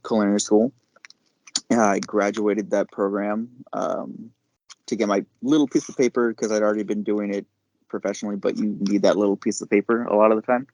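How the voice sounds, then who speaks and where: A man, probably middle-aged, talking casually as a podcast guest, explaining things in a halting, reflective way. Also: on the low side, darkish tone, no echo. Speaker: podcast guest, chef and caterer. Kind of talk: casual interview chat.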